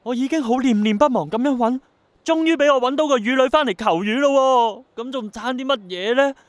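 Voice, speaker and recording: Young hong kong cantonese dreamer in audio drama, high voice, earnest, pushy, dry sound. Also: male voice, fairly quick, dry recording. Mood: baffled frustration. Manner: insistent, earnest. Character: earnest, stubborn young man obsessed with bringing rain. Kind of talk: Cantonese radio drama acting.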